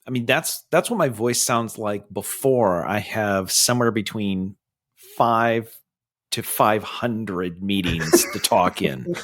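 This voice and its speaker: A man's voice: on the low side, slow pace, fairly bright tone. A man co-hosting a casual podcast, playful and goofy, laughing as he chats. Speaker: podcast co-host. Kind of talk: casual chat.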